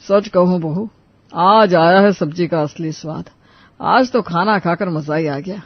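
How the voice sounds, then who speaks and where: A woman's voice: fairly low pitch, measured pace, husky, no room sound, dull audio. Woman acting nagging cartoon mother-in-law, dry close sound. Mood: delighted. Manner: nagging, sarcastic. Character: scolding, grumbling mother-in-law, sharp-tongued older woman. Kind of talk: Hindi cartoon family drama voice acting.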